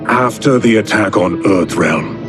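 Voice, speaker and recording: A cold, formal sorcerer villain from a video game. The low male voice is slow and imperious, with dramatic rises and falls, over clear music. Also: animated. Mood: commanding, assured. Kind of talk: video game cutscene acting. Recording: loud music behind.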